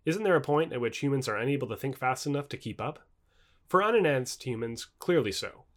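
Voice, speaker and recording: A man reading scripted nonfiction aloud as an audiobook narrator, in a measured, articulate way with emphatic phrasing. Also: mid-range voice, animated.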